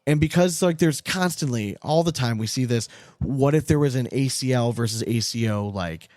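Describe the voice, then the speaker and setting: A man's voice: medium pitch, moderate pace, a little mellow, no room sound, studio quality. A man co-hosting a sports podcast in casual banter, with a blunt, slangy manner. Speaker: podcast co-host.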